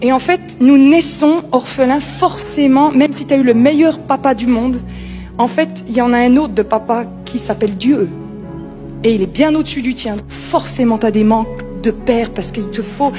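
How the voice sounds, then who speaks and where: A woman's voice: on the high side, normal speed, over music. A woman preacher giving an informal sermon in a conversational, emphatic way, repeating key phrases.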